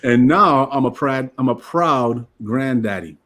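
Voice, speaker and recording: An older man from Guyana speaks on a panel in a relaxed, easygoing way. He introduces himself casually and laughs often. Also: mid-range voice, a little slow. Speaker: panelist, former Marine.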